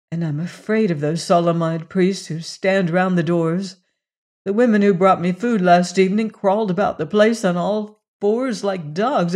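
A man's voice: high-pitched, a little mellow. A man voicing a young woman hailed as a goddess, speaking earnestly and sometimes commanding.

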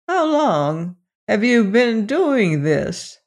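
A woman's voice: a little high, sing-song, very slow, a little mellow, voice pushed up, full character voice. A woman voicing an earnest, honest old man in a storybook tale. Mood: puzzled. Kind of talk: storybook character voice.

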